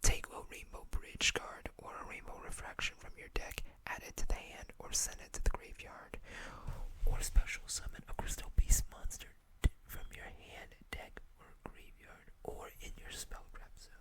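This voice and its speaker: A host whispering gently and unhurriedly into a close microphone, reading out card game text in ASMR style with small asides. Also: moderate pace.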